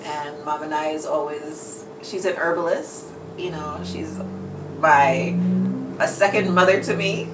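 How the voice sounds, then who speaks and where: A low, chatty woman from New York who laughs readily in an interview. She sounds far from the mic in a roomy space, with noise behind her. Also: fairly even intonation, a little slow. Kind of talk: casual interview chat. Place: herbal shop. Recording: roomy, distant mic, noisy background.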